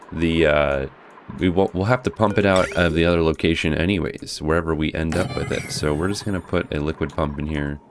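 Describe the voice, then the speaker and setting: A hoarse man casually talking through his gameplay as a guide host, thinking aloud as he plays. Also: extremely low pitch, noisy background. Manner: thinking aloud, relaxed, restarts. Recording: music playing behind.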